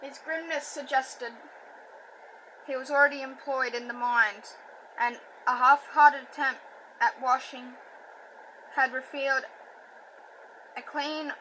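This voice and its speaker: A woman reading a novel aloud, halting and self-correcting, with a stutter and a slight lisp. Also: sits high, steady tone, pushed and bright, a little hiss or hum, rough recording. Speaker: audiobook reader. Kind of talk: amateur book reading.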